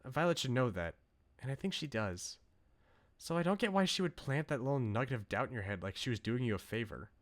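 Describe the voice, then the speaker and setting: A man's voice: medium pitch, lively intonation, brisk, close to an everyday voice. A man voicing an earnest, devoted young woman from a visual novel, reading her lines with emphasis. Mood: concerned, insistent. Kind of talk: reading visual novel dialogue aloud in character. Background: game music.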